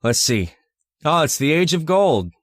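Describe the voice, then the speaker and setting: An actor playing a moody, homesick man at a remote outpost, colloquial and expressive in old-time radio drama style. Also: a little high, unhurried, no room sound, clean studio sound. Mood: nostalgic. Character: moody, homesick, touchy male outpost partner.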